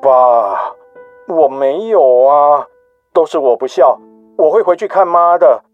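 A man's voice: fairly high pitch, expressive, slow, phone-quality sound, faint music behind. A man playing a torn, earnest, dutiful son and husband in a radio drama. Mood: guilty. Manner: hesitant, earnest.

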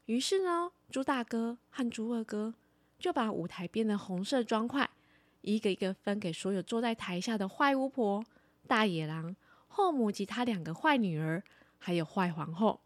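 A woman telling a story for children as a podcast host, in an animated storytelling style. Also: mid-range voice, normal speed, no echo.

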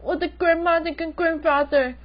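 A woman's voice: very high-pitched, fairly even intonation, normal speed. A teenage girl playing a proud, emotional young city wife who feels wronged, in an amateur radio drama with melodramatic delivery. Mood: despairing. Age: teens. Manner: melodramatic, code-switching English words into speech. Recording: muffled lo-fi recording with background music.

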